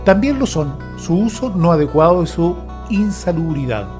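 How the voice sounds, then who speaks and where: A man's voice: on the high side, sing-song, normal speed, a little mellow, soft background music. A man reading scripted, documentary-like narration as a narrator.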